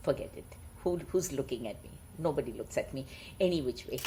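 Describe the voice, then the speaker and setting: A woman with an Indian accent, sharing moral stories in an informal, animated way. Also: a bass voice, brisk, a little hiss or hum. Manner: chuckling, animated, informal asides. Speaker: storyteller and host. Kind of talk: casual chat mixed with read-aloud moral tales.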